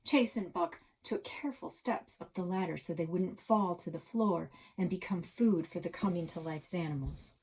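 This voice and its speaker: Husky, lowish-pitched woman storyteller. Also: normal speed, few pauses, darkish tone, rough recording. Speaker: read-aloud storyteller. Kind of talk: dramatic children's story narration.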